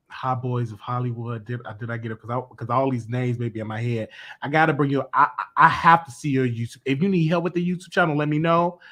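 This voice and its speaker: A man's voice: medium pitch, a bit bright. A man hosting a talk show in casual chat, chatty and agreeable, laughing along with his guest. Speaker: host.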